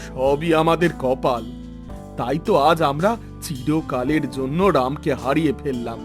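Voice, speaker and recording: A man's voice: on the high side, a little slow, over music, lightly acted. A man playing a sorrowful father in a cartoon, lamenting in a mournful, emphatic, melodramatic way. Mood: resigned sorrow. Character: sorrowful village father of two.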